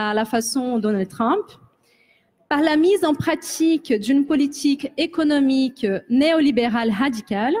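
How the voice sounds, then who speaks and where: A woman whose first language is Portuguese moderates a panel debate. Her voice sits high, and she introduces the topic and her guests in a measured, formal way. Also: darkish tone.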